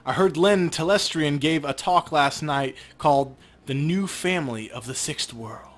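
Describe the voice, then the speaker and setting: A man's voice: a little high, a little slow, lightly acted. A man plays an earnest convert in a video game, full of zeal. He pleads with urgency, as if trying to win someone over. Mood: earnest pleading. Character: earnest, zealous man pleading with his ex-girlfriend. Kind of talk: video game dialogue read aloud.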